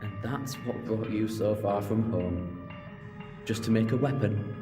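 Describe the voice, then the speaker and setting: An actor playing an earnest, principled time-travelling hero in an audio drama, reasoning in a measured way. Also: male voice, lowish voice, natural voice. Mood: questioning. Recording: long reverb with music underneath.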